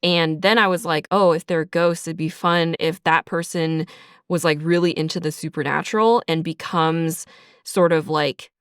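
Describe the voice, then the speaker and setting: A woman's voice: low-pitched, steady tone, normal speed, a bit bright, clean tone, no room sound, clean studio sound. A woman speaking casually in an interview as a writer, chatty and informal, peppering her talk with "like" and "sort of". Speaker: audio drama writer being interviewed. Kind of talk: casual behind-the-scenes interview.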